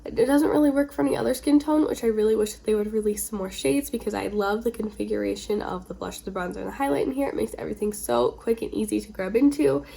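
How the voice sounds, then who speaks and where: A woman's voice: on the high side, fast talker, creaky, few pauses, mellow, slightly rough. A woman getting over a cold, chatting informally with rambling asides as the host of a casual beauty vlog. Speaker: beauty video creator, host.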